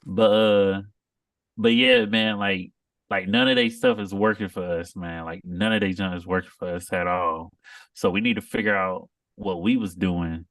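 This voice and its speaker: A man co-hosting a casual podcast, monotone and laid-back. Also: low-pitched, moderate pace, dry recording, far from the mic. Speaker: podcast co-host.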